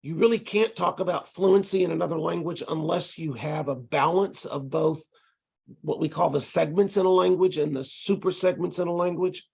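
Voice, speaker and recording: A middle-aged man with a Texas accent, giving a relaxed, conversational lecture over a video call with frequent ums and restarts. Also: fairly high pitch, moderate pace, creaky, breathy edge. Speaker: ESL instructor presenting to tutors.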